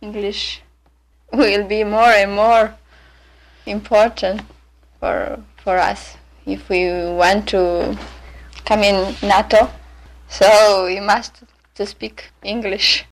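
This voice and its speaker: A woman from Romania, a native Romanian speaker with a Romanian accent, talking about herself in English in a hesitant, halting way with many pauses and fillers. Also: medium pitch, steady tone, rough recording. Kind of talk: unscripted personal monologue for a listening exercise.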